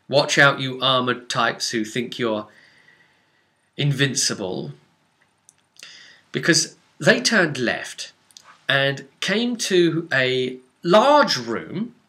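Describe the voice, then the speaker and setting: Male game master for a vlog recap: bright, forceful voice, theatrical storyteller who leans hard on key words. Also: medium pitch. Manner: theatrical, dramatic emphasis and drawn-out words.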